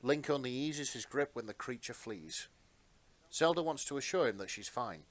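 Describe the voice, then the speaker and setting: A man's voice: mid-range voice, animated, moderate pace. A man with a mid-range voice serves as narrator. He reads an adventure story aloud in a dramatic storytelling style.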